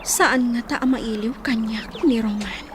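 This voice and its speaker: A woman's voice: a little high, unhurried, noisy background, close to an everyday voice. An actress with an Ilocano accent playing a lovelorn young village woman in a radio drama. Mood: wistful, longing.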